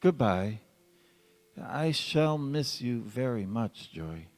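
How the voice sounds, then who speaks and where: Guy acting gentle wistful dad in a radio play, slow careful talker, roomy hall reverb. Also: mid-range voice, big pitch swings. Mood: tender, wistful. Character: gentle, polite, wistful middle-aged father. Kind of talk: stage radio drama acting. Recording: echoey church hall.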